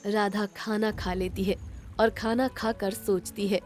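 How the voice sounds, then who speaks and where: A woman's voice: medium pitch, steady tone, unhurried, a little mellow, soft background music. A woman narrator reading a scripted moral story.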